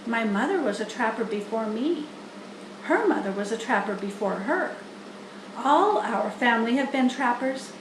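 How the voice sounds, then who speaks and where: Woman as a small female spider, storybook, thin high voice, pleasant, genteel, matter-of-fact, unhurried. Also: roomy sound, a little hiss or hum, rough recording. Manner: measured, genteel, candid. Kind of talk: children's storybook character reading.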